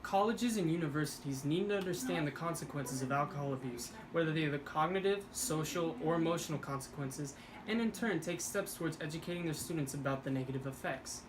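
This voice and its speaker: A woman's voice: deep voice, brisk, hardly any pauses. Young female student, nineteen, reads a class report evenly over audible noise. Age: young adult. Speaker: student presenter. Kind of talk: reading a class presentation.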